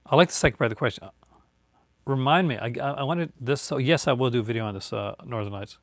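A man's voice: mid-range voice, fast talker, dry recording, studio quality. Rambling man with a light Newfoundland accent. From Newfoundland, Canada. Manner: rambling, many fillers and restarts. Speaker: YouTuber answering viewer chat. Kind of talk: casual live-stream chat. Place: home room with bookshelves, indoors.

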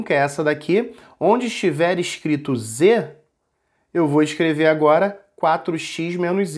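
Man, 40s, math teacher, slightly high voice, patient step-by-step explanation, webcam at home. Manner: patient, repeats and points at the board. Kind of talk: explaining an exam problem step by step.

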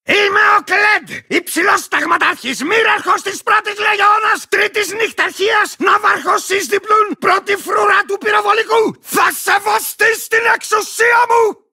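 A war-crazed little creature from a video game, boastful and hot-tempered. The voice is piercingly bright and full of gravel, swinging wildly up and down. It rants and barks like a pompous, unhinged commander. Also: male voice, big pitch swings, heavily acted. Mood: pompous, indignant. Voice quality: gravelly, shrill, cracked. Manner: ranting, pompous, barking, bursting into cackles. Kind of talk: cartoonish video game character acting.

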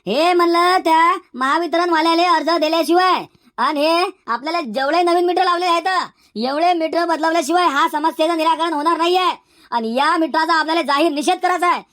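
Squeaky, bright old village uncle. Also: male voice, a little fast, dry recording, studio quality. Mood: firm, insistent. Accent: Varhadi Marathi. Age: older. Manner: emphatic, repeats phrases. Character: feisty old village uncle, henpecked but bossy. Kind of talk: comedy cartoon dialogue.

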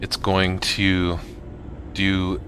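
Slow, low-voiced man giving a tutorial. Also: completely flat delivery, background noise. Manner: halting, pausing mid-sentence. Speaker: gamer making a tutorial video. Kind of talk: casual software tutorial narration.

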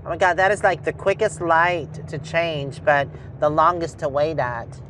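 A woman's voice: low-pitched, fairly even intonation, a little slow, a bit bright, low-quality recording. A middle-aged woman chatting casually to the camera while driving her RV, talking to herself about the road. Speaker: RV travel vlogger. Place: inside a moving RV cab. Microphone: dash or handheld camera in vehicle. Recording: road noise behind.

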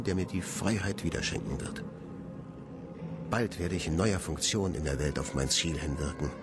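An obsessed scientist in a radio play, confiding his grand vision in a measured monologue. His lowish voice swings with lively intonation over clear background music. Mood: grandly triumphant. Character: obsessed male scientist, solemn and grandiose about his research. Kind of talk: diary monologue in a radio drama.

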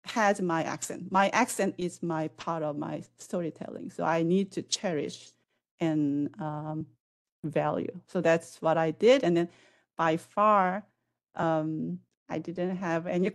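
A woman in her forties speaks through a headset on a video call, her non-native English accent clear in every phrase. Her voice sits a little low, and she talks in a measured, reflective way. She pauses and uses fillers as she works out each thought. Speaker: panelist. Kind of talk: conference panel answer.

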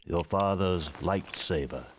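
An actor playing a wise, gentle old hermit knight in a video game, gravelly, measured and kindly. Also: male voice, deep voice, slight background noise. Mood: reflective. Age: older. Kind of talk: video game cutscene acting.

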